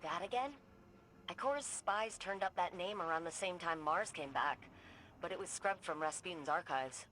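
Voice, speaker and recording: A woman's voice: lowish voice, moderate pace, fairly bright tone, lightly acted. A woman playing an alert, frank field operative in a video game, speaking casually and directly. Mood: wary. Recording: noisy background.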